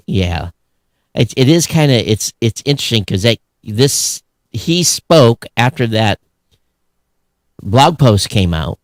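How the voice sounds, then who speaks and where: A man in a close, dry recording talks casually as a podcast co-host. His pitch sits mid-range for a man. He is opinionated and sardonic, animated when he gripes, and often drops a phrase halfway to start again. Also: takes it slowly, long pauses, dry recording. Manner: animated, sarcastic griping with mock quoted voices. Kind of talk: casual opinionated talk show chat.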